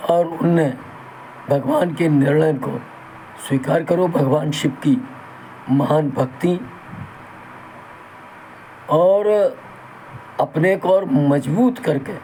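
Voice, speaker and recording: A man with a sore throat, hosting his own channel and talking in a slow, halting, folksy and reverent way. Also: on the high side, mellow, slight background noise, poor audio. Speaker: channel host. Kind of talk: talk in Hindi with Bundeli dialect.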